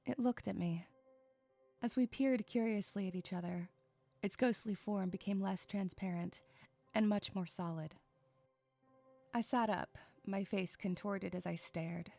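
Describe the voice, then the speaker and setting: A troubled, irritable young loner , played by a woman, tells her story in the first person. The delivery is introspective and dramatic, with some creak in a mid-pitched voice. Faint music runs underneath. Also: measured pace, a little mellow. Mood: wary, irritated. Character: troubled, withdrawn, irritable young loner girl narrating inwardly.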